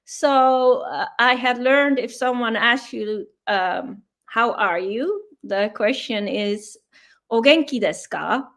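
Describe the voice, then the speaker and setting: A woman with a clearly high voice, Dutch her first language, talking through a topic at an easy pace. She explains patiently and leans on plenty of ums and uhs as she goes, in the relaxed back-and-forth of an interview. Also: takes it slowly. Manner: explains patiently with many fillers. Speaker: guest. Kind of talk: casual interview conversation.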